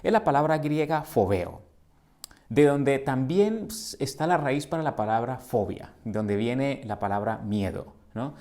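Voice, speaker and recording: A middle-aged Colombian man preaches a sermon in a mellow, warm voice at an average pitch. He speaks in earnest and poses questions to the congregation, and he builds to emphatic repeated lines. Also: lively intonation, unhurried. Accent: Colombian Spanish. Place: church sanctuary.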